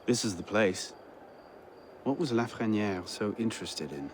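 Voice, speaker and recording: Brief: defiant young assassin, game cutscene. Earnest, urgent, wide melodic swings, slight ambience behind him. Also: male voice, on the low side, expressive, a little slow, slight background noise. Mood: low, wary. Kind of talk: video game cutscene acting.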